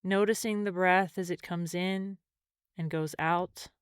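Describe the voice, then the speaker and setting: Smooth-voiced woman guiding a meditation. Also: lowish voice, steady tone, no room sound, studio quality. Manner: gentle, measured, with long pauses between phrases. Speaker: mindfulness and meditation teacher. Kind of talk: guided meditation narration.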